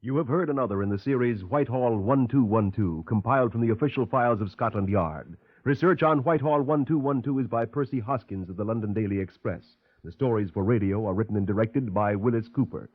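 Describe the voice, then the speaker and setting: A man's voice: lowish voice, a little fast, few pauses, a little hiss or hum. A man announcing formally in the style of a 1950s radio sign-off. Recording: tinny, dry old radio broadcast.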